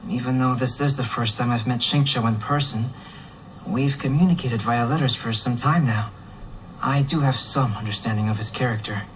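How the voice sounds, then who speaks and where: Actor as a composed young gentleman sleuth, mid-pitched. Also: steady tone, slight background noise, close to an everyday voice, poor audio. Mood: calm, reassuring. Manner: measured, articulate, formal. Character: calm, analytical, courteous young man, a gentleman sleuth. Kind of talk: English video game voice acting. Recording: game music playing behind.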